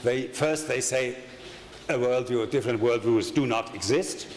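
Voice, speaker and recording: An older man with a German accent, a native German speaker, giving an academic conference lecture at a lectern in a halting way, with many fillers, restarts and wry asides. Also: mid-range voice. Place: lecture hall with projection screen. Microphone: desk microphone at a lectern. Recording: echoey hall with faint room noise.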